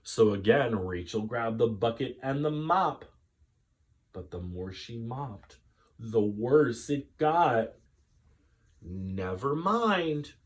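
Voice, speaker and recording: Slow, very expressive man reading a children's story. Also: medium pitch. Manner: dramatic, drawn-out delivery with pauses.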